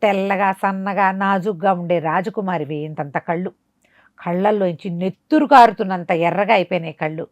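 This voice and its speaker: A woman telling a folk moral tale in a conversational, dramatic way, pausing for asides and rhetorical questions. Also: fairly low pitch, a little mellow, no echo, muffled recording. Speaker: storyteller. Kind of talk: animated oral storytelling of a folk moral tale.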